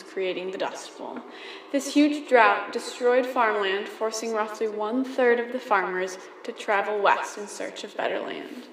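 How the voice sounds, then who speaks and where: A woman's voice: on the high side, echoey room, a little hiss or hum. A young woman reading a scripted news report as the presenter of a mock 1930s radio broadcast.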